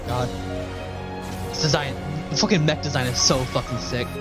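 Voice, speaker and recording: A man's voice: mid-range voice, fairly even intonation, normal speed. A man streaming a game, giving casual, profane, enthusiastic reaction commentary over cutscenes. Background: faint game music and dialogue.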